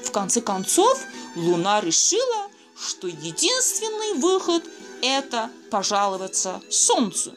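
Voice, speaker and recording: A woman reading a children's fairy tale aloud, expressive and speaking fondly to young listeners. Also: on the high side, a little slow, faint music behind. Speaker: storyteller.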